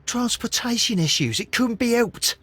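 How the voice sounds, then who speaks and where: Very raspy, shifty smuggler. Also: male voice, very high voice, animated, moderate pace, fairly bright tone, full character voice. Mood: defensive, insistent. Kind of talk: scripted game dialogue.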